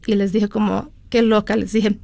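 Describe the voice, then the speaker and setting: A woman's voice: mid-range voice, flat delivery, normal speed, creaky, dark tone, dry recording. A middle-aged woman from California, a lawyer and interview guest, tells her story in a chatty way, with frequent fillers and switches into English. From California, USA. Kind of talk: conversational storytelling.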